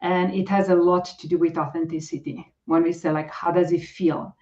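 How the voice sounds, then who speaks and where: A woman's voice: on the low side, level tone, fairly quick, darkish tone. A middle-aged woman with a Romanian accent, a guest coach talking casually and hesitantly, with many fillers and restarts. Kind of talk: casual interview talk. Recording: dull lo-fi audio.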